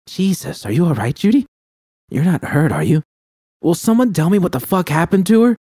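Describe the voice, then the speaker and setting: A man's voice: high voice, sing-song, fairly quick, dark tone, slightly rough, no room sound, clean studio sound, voice pushed up, heavily acted. A man voicing a tough, protective young woman mercenary who talks bluntly and swears, in an audiobook reading of a fan story. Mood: alarmed. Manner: blunt, profane.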